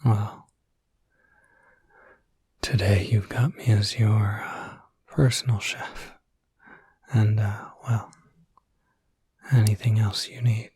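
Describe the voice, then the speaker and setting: Male actor as kind werewolf caretaker, ASMR roleplay, breathy hushed whisper, soothing, hesitant, close and dry sound. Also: on the low side, flat delivery, takes it slowly, long pauses, no room sound, full character voice. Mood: gentle, encouraging. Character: gentle, reassuring male werewolf caretaker, pleasant and patient. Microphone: close mic.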